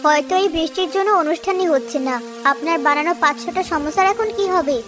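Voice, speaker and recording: A woman's voice: very high-pitched, fast, music in the background. A woman voicing a scolding, moralizing shopkeeper's wife in a village cartoon. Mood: worried. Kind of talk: cartoon story voice acting.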